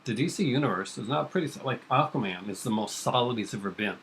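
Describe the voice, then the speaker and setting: A man with a bright, forward voice talks fast and eagerly in a loose conversation, cutting in with enthusiastic remarks. A faint hiss of background noise runs underneath. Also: medium pitch, lively intonation. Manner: quick, eager, enthusiastic interjections. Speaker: comics podcast co-host. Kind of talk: casual chat.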